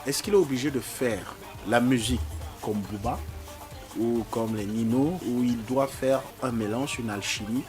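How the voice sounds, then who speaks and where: A male host asks his questions in a measured, formal way, at a mid pitch. Music plays clearly behind his voice. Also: darkish tone. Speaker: interviewer host. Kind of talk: radio-style interview questions.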